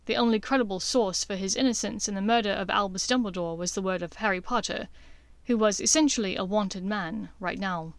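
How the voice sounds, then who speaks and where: A woman's voice: medium pitch, no echo. A woman reading scripted fiction as an audiobook narrator.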